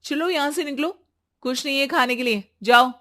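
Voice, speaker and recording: A woman voicing an outspoken young bride-to-be in an expressive, emphatic cartoon story style. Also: high voice, normal speed, dry recording, clean studio sound, pitched above the performer's own voice. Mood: curt, dismissive. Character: Neha, outspoken young bride-to-be, chirpy, sometimes exasperated. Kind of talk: animated Hindi story voice acting.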